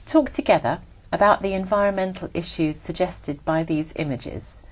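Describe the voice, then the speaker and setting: Measured woman examiner, slightly low voice. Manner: measured, formal instructions. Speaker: exam examiner. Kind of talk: scripted exam prompts.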